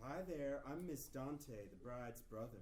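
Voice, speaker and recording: A man's voice: medium pitch, unhurried, far from the mic, natural voice. A man playing a flamboyant, sassy male bridesmaid, the bride's brother, at a comedy table read. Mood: flirty, sassy. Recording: roomy table read with clear background noise.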